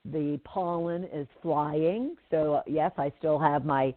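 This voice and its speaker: A woman's voice: low voice, slow, no room sound. A middle-aged woman hosting a live talk radio show, chatting casually with a slightly nasal voice and lots of fillers and restarts. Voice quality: nasally from pollen. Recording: tinny phone-like line.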